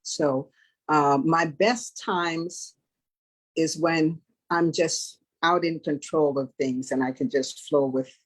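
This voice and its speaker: A woman giving casual interview answers as a guest, in a measured, plainspoken way. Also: sits low, steady tone. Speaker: interview guest, stage manager.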